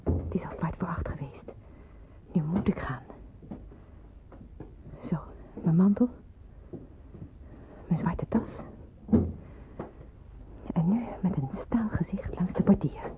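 An actress playing a despairing, brooding young nurse who is weary of hiding, in radio drama style. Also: female voice, fairly low pitch, animated, takes it slowly, long pauses, in a whisper. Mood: tense, resolved. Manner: brooding, hesitant. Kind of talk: radio drama acting with inner monologue. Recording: muffled phone-like old radio recording, clear hiss.

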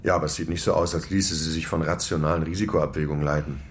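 An actor playing a cool German security official in a radio play, formal and lecturing. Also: male voice, a bass voice, fairly even intonation, fast talker, a little hiss or hum. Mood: measured.

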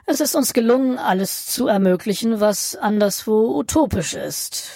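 A woman voicing a genial, drily ironic Martian son from Utopia in a satirical radio play, speaking deliberately and theatrically. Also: mid-range voice, gravelly, warm tone, dry recording, clean studio sound. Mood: matter-of-fact, wistful. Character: genial, wry, bored Martian alien, prodigal son from Utopia.

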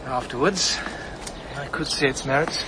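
A man's voice: mid-range voice, moderate pace, noisy background, natural voice. A man with a British accent playing a reserved, blunt veteran in a radio drama, speaking tersely. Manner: terse, dry. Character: reserved young man back from war, blunt. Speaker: man home from war.

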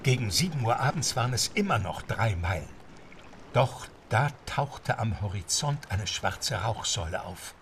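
A man's voice: lowish voice, big pitch swings, normal speed, fairly bright tone, recorded from a distance, a little hiss or hum. A man narrating a scripted adventure story in a dramatic storytelling style.

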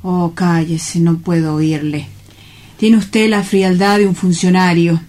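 Woman acting a gloomy client, lowish voice, very slow, weary of life, easily offended, radio-drama style. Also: steady tone, a little mellow.

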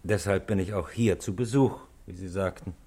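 Evasive married clerk: fairly low pitch, guarded, careful with words, 1960s radio play. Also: male voice, normal speed, warm tone. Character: evasive, uneasy married man, petty office clerk.

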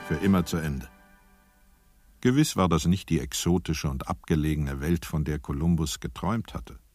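Deep-voiced man narrating over music. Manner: measured storytelling with dry irony. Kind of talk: scripted radio play narration.

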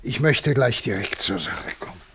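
An actor playing an authoritative president of a future world at war, speaking formally in a 1960s German radio drama. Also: male voice, medium pitch, animated, normal speed, gravelly, fairly bright tone, a little hiss or hum. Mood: grave, resolute. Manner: formal, commanding.

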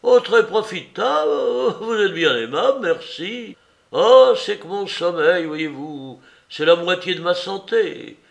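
A cranky old office clerk bursts out in sputtering indignation, as a male reader puts him on. The voice sits high and loud and swings wide in pitch. He is a touchy, easily offended storybook figure. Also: expressive, a little slow, vocal fry, muffled recording, full character voice. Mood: indignant. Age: older.

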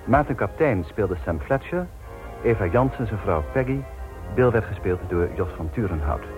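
A man's voice: on the low side, animated, moderate pace, phone-quality sound, over music. A man reading scripted credits as the announcer of a radio play.

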